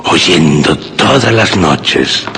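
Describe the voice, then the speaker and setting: An actor playing a rugged, fearless older uncle and wolf hunter in a radio drama, telling his tale solemnly in a gravelly voice. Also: a bass voice, lively intonation, music in the background, full character voice. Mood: solemn, proud. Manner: slow, solemn storytelling.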